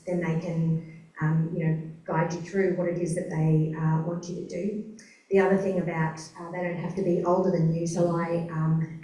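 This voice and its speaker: A woman's voice: lowish voice, a little mellow. A woman from New Zealand with an Australian accent, talking chattily on a conference panel with many fillers. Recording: roomy conference hall, mic far off.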